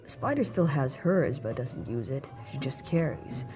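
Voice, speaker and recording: A woman's voice: very deep voice, animated, a little slow, darkish tone, soft background music. A woman playing a composed, wry syndicate operative in an audio roleplay, speaking in an understated, knowing way. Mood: composed, assured. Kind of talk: dramatic audio roleplay acting.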